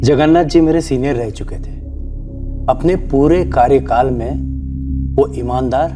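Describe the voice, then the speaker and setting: A man's voice: mid-range voice, unhurried, close to an everyday voice. Older male retired official, played by an actor, crime drama. Earnest, respectful, explanatory, faint music bed. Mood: earnest recollection. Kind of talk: television crime drama dialogue. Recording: background music under dialogue.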